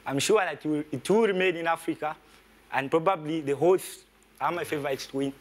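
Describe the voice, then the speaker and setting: A man's voice: on the high side, a little fast. A man, a quiz contestant, answering in a confident, chatty way.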